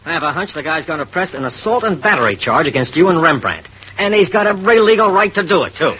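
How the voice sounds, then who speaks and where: A blustering, exasperated American police boss in an old radio drama. His highish voice swings widely as he barks slangy orders, on a dull recording. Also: animated, quick pace, husky. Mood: angry. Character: gruff, exasperated male police detective boss. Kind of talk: 1940s radio drama acting.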